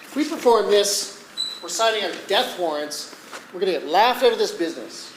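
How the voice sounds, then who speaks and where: A woman's voice: medium pitch, expressive, normal speed, close to an everyday voice. A woman playing a reluctant, exasperated actor in an amateur stage comedy, protesting in disbelief and dreading ridicule. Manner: protesting, incredulous. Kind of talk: live amateur one-act stage comedy. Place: indoor theatre stage. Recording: echoey, noisy live theatre recording.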